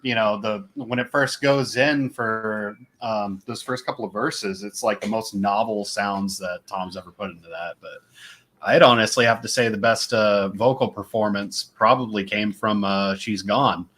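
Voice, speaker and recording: A man's voice: on the low side, fairly even intonation, normal speed, fairly bright tone. A man in a band, a guest in a casual chat, talking hesitantly with crude humor.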